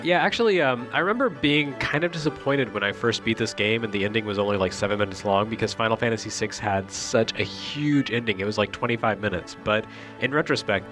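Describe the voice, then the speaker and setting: A man with a fairly low voice talks casually over prominent video game music. He comments on cutscenes like a critic, with a wry, joking manner. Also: fairly quick. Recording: loud music behind the voice.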